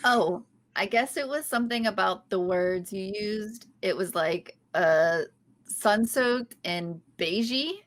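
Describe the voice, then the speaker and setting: A woman's voice: mid-range voice, slow, lightly acted. A woman reading the part of a chatty, awkward young woman at a sitcom table read.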